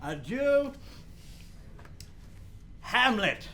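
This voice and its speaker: An actor playing a fussy, long-winded old royal counselor in a Shakespearean radio play, rambling and interrupting himself theatrically. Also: male voice, extremely high pitch, very animated, extremely slow, pushed and bright, slight background noise, exaggerated voice. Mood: declaiming.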